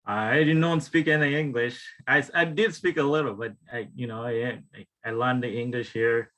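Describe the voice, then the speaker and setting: A man in his thirties with a Ugandan accent speaks informally about himself as a guest panelist, often halting and restarting his sentences. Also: mid-range voice, fairly bright tone, poor audio. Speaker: wellness tonic maker, guest panelist. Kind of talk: informal personal storytelling on a panel.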